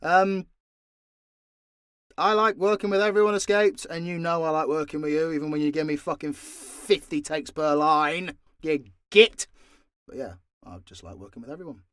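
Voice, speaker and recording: A man's voice: a little high, normal speed, fairly bright tone, clean tone, no echo, clean studio sound. A British man chatting casually and off the cuff as he answers fan questions, with a blunt, sweary, jokey manner. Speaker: audio drama creator and voice actor answering fan questions. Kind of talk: casual unscripted Q&A chat.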